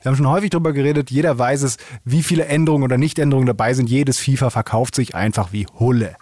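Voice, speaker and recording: Ein Mann mittleren Alters mit norddeutschem Akzent, der als Co-Moderator eines Gaming-Podcasts locker plaudert, oft lacht und Wörter neu ansetzt. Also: male voice, medium pitch, racing, darkish tone, no room sound, studio quality. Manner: chatty, laughs often, restarts words. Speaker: podcast co-host. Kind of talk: casual chat.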